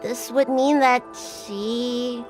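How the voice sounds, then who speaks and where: A woman voicing an earnest, easily flustered young girl healer in a video game, expressive and a little self-important. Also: a little high, drawn-out words. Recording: music playing behind the voice.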